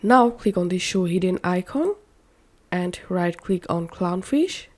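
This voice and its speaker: A woman narrating a step-by-step software tutorial in simple, instructional wording. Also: on the low side, lively intonation, measured pace. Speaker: tutorial host.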